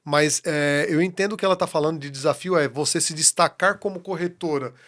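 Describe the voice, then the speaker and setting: A man's voice: on the high side, a little fast, a bit bright. A Brazilian man hosting a podcast interview, speaking in an encouraging, motivational way. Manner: encouraging, motivational, repeats his points. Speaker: podcast host. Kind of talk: casual interview talk.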